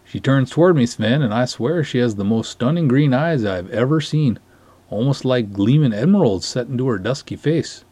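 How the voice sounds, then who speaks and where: Male reader as florid, dignified patrol cop telling his tale, husky, animated pitch, storybook drama. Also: dry recording. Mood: enchanted. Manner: pompous, florid, deliberate storytelling. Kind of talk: dramatic short-story reading.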